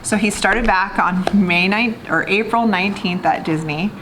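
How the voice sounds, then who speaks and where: A woman's voice: medium pitch, normal speed. A woman co-hosting a casual vlog, chatty and explanatory as she tells a story in conversation. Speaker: vlog co-host. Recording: clearly noisy background.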